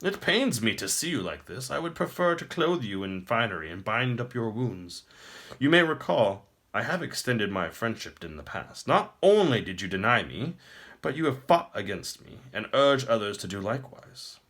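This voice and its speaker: Gloating tyrant wizard for fantasy audiobook man acting: raised pitch, wildly swooping, grand formal courtesy with mockery. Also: sing-song, normal speed, a bit bright. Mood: smug, magnanimous. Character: gloating male wizard emperor, smooth-talking tyrant.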